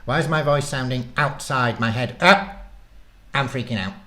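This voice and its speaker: A man's voice: mid-range voice, normal speed, bright, forceful tone, pitched above the performer's own voice, close to an everyday voice. A man voicing a tiny talking guinea pig in a storybook, panicky, whiny and easily indignant. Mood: panicked.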